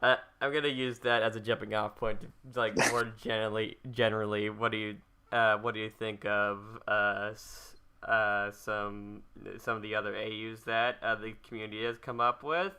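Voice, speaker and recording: Bright, crisp man, haltingly hosting. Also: fairly low pitch, level tone. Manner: halting, hesitant, stammering repetitions. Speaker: podcast host reading listener questions. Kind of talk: casual question reading.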